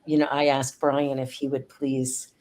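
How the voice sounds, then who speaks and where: A middle-aged woman hosting a casual interview chat, effusive and quick to laugh. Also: very deep voice, flat delivery, darkish tone. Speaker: host and spiritual director.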